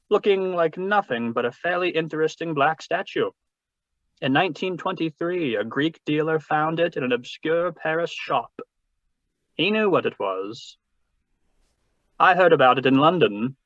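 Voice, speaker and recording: A man's voice: a little high, fairly bright tone, no echo. Man playing a pompous, scheming radio-drama crime boss, muffled. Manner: pompous, florid, polite delivery. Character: suave, wordy, scheming older crime boss obsessed with treasure. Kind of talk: 1940s radio drama read-through. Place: remote read-through over a video call. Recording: muffled, dry video-call audio.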